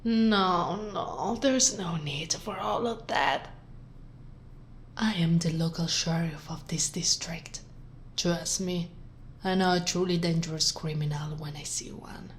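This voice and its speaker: A woman from Italy playing a smug, flirtatious sheriff in an ASMR roleplay, with sultry, teasing delivery that drops into breathy whispers. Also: on the low side, takes it slowly, slight background noise. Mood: amused, condescending. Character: teasing, smug, flirtatious female sheriff gloating over captive. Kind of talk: ASMR roleplay, scripted acting.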